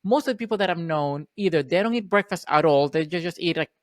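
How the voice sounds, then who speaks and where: A man's voice: fairly high pitch, expressive, fast talker, no echo. Hesitant man from Venezuela, dry sound. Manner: hesitant, with many repeated words and fillers. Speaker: podcast host. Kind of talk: casual interview talk.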